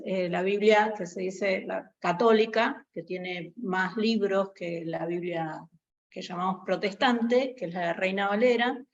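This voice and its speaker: Slow, halting Argentine woman lecturing. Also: fairly high pitch, highs cut off. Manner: hesitant, many fillers. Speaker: guest lecturer. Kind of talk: online theology class.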